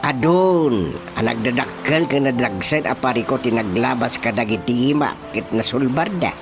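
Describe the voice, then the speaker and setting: A man with a Filipino accent playing a boastful, excitable village chairman in a radio comedy drama, blustering and exclaiming. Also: mid-range voice, moderate pace, lightly acted. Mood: concerned. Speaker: actor. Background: music.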